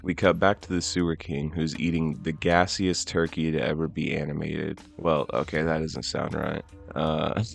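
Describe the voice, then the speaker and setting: A man's voice: a bass voice, level tone, normal speed, gravelly, hardly any pauses. A young adult man giving a wry, joking recap of a cartoon episode, with slang and swearing. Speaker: YouTube commentator. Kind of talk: casual episode commentary.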